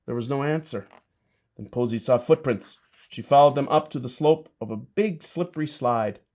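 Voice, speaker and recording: A man's voice: mid-range voice, moderate pace, darkish tone. A man, a bishop, reading a children's storybook and chatting to kids with simple, encouraging asides.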